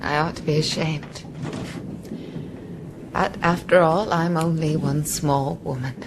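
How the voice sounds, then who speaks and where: A genteel castaway in a radio drama, weary but brave. Her words come slowly and with deep feeling. Sea and boat noise is clearly heard behind her. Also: steady tone. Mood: tearful. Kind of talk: broadcast radio drama acting.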